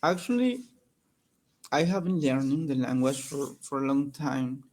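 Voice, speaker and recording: A man learning English on a video call, speaking in a slow, halting way with many restarts. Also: mid-range voice, big pitch swings, a little mellow. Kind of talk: casual chat on a video call.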